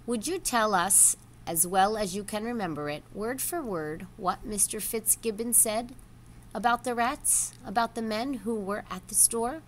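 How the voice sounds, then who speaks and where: A woman's voice: medium pitch, a little slow, a little hiss or hum, pitched above the performer's own voice, close to an everyday voice, low-quality recording. A woman voicing a friendly male rat in a children's storybook, speaking politely and encouragingly.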